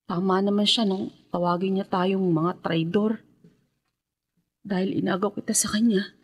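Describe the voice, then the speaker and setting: A woman playing a gentle, anxious young woman in love, soft and caring, in a radio drama. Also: mid-range voice, fairly even intonation, measured pace, breathy edge. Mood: guilty, subdued.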